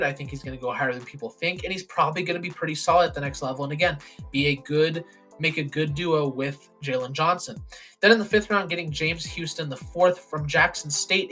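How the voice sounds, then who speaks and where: A young man giving casual, opinionated football draft commentary, his voice hoarse and raspy. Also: a little high, pushed and bright. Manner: opinionated, plain-spoken with frequent ums.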